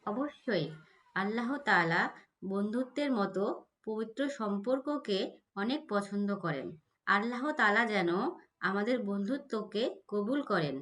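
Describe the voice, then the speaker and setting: A woman voicing a polite, devout schoolgirl in an Islamic cartoon, with an earnest, measured manner. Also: medium pitch, expressive, fairly bright tone. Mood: polite, warm.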